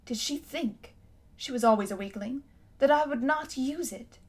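A woman voicing a cold, imperious tyrant queen in a storybook reading, speaking loudly and grandly. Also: sits high, moderate pace, husky. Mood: bitter. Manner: haughty, commanding, grand.

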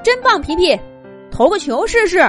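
A woman's voice: very high voice, sing-song, moderate pace, over music, pitched above the performer's own voice. A woman voicing a friendly, playful young male gray rabbit in a children's story, cheerful and encouraging. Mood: praising.

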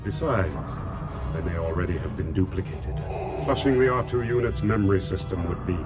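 A menacing dark lord played by a man with a deep, commanding voice. He speaks sternly and formally, as in a radio drama, with loud music swelling behind him. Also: normal speed, lightly acted. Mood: cold menace.

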